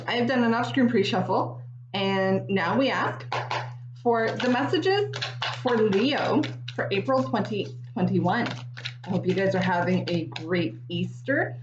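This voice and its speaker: Casting: woman in her forties, slightly raspy, chatty tarot reader talking straight to viewers, dull echoey room recording. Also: mid-range voice, a little hiss or hum. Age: 40s. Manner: chatty, frequent ums, addresses viewers directly. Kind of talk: casual tarot reading to camera.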